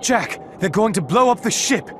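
A man's voice: high voice, expressive, normal speed, a bit bright, a little hiss or hum. An actor playing an earnest, proper young swashbuckling man with formal phrasing in a video game. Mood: alarmed. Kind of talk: video game cutscene acting.

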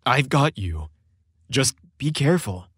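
A man's voice: mid-range voice, sing-song, unhurried, fairly bright tone, breathy edge, no room sound, studio quality, exaggerated voice. A man voicing a reassuring, mischievous young man in a holiday romance story, confident and teasing. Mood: concerned.

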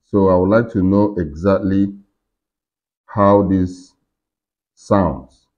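A middle-aged man with a deep, low voice talks viewers through software at his desk. He explains step by step, often pausing on fillers and restarting his sentences. The recording sounds slightly dull and lo-fi, picked up by a computer mic. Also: fairly even intonation. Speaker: tech tutorial host. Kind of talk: screen-recorded software walkthrough. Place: indoor room at a computer desk.

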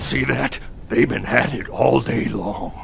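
An actor voicing a jittery, cowardly animal in an animated cartoon, grumbling and fretful in an exaggerated way. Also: mid-range voice, measured pace, creaky. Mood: exasperated. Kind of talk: animated cartoon dub acting. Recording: loud music under the voice.

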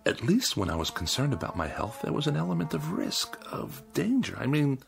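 Arrogant, sneering man, lively inflection. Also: mid-range voice, a little fast, gravelly, faint music behind, full character voice. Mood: bored, scornful. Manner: curt, sarcastic, sneering. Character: irritable, arrogant, bored middle-aged husband, cruel to his wife. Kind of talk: radio drama acting.

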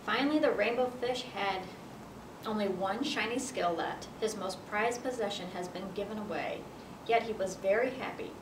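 An American woman in her thirties reading a picture book aloud to children. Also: moderate pace. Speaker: children's library storyteller. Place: library children's area, indoors. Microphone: camera a few feet away. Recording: roomy, distant mic with noisy rumble.

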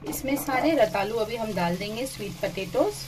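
A woman's voice: on the high side, expressive, moderate pace, far from the mic, background noise. A woman hosting her cooking channel, chatting through a recipe as voice-over and mixing English phrases into her talk.

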